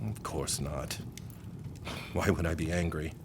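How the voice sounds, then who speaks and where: An actor in an audio drama playing a concerned, proud senior scholar and mentor who questions insistently. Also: male voice, extremely low pitch, fairly even intonation, measured pace, slight background noise. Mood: troubled, earnest. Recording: music under the voice.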